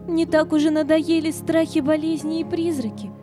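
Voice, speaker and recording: A woman's voice: high voice, little pitch movement, moderate pace, natural voice. A woman playing a timid, eager little girl in an audio play, childlike and pleading, with music behind her.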